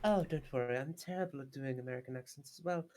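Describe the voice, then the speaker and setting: A woman's voice: very deep voice, fast, dark tone. A woman with an English accent, chatting casually as a player in a tabletop game group. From England. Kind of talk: casual chat.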